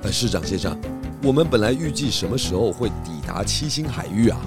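A man playing a deliberate, questioning detective in a children's radio mystery. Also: on the low side, expressive, over music, natural voice. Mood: pondering. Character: calm, inquisitive male detective.

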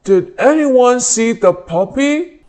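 A woman's voice: fairly high pitch, lively intonation, slow, a little mellow. A woman voicing a helpful police car in a children's storybook reading, earnest and eager to help. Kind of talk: storybook read-aloud. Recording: noticeable background noise.

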